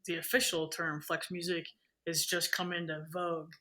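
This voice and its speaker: A woman who is a band conductor, a guest on a podcast, telling anecdotes in an animated way and acting out quoted speech. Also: fairly low pitch, normal speed. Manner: animated storyteller, acts out quotes. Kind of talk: casual conversation, anecdotes.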